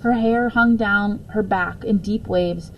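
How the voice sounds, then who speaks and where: Casting: female story reader, medium pitch, expressive children's book narration, faint background noise. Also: slow, dull audio. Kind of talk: children's book read-aloud.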